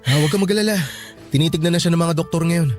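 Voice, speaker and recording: Actor as soothing young man, slightly high voice. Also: racing, soft background music, lightly acted. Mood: calm, serious. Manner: soothing, earnest. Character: calm, reassuring young male hospital worker in love. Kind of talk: radio drama acting.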